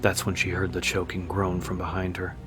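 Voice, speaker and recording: A man with a very low voice narrates a dramatic story as an audiobook. His pitch moves very little, staying nearly monotone. Music plays clearly behind his reading. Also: brisk.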